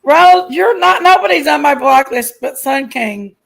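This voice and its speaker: A woman with a loud voice, joking in a casual livestream chat. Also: high-pitched, lively intonation, measured pace. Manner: loud, joking, gravelly chatter.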